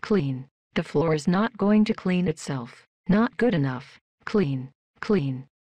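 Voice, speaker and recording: A woman voicing a polite, emotionless female robot worker in a video game, with flat, stilted, deadpan delivery. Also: deep voice, takes it slowly, husky, no echo, heavily acted. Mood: flat, cold. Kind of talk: scripted video game voice lines.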